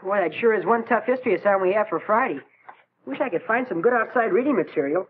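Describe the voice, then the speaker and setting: An actor playing a popular, helpful teenage high school boy, chummy and breezy, in 1940s educational film style, on a tinny soundtrack with a crowd behind. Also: expressive, quick pace, a little hiss or hum. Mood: friendly.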